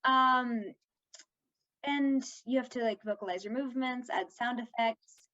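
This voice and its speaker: High-pitched young woman chatting casually. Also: a little slow, bright, forceful tone, lo-fi sound. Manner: informal, heavy use of "like". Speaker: interviewed actor. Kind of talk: casual interview chat.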